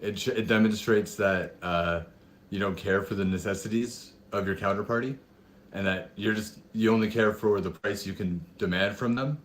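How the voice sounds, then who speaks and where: A man with a raspy voice, speaking as a panelist on an online call, thoughtful and halting with many fillers. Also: lowish voice, a little hiss or hum. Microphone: headphone mic on a video call.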